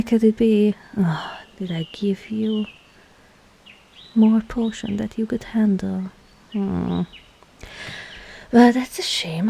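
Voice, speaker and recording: A woman playing a scheming, seductive witch in a whispered ASMR roleplay, breathy and teasing, with falsely soothing reassurance. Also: mid-range voice, warm tone, full character voice. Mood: mock disappointed.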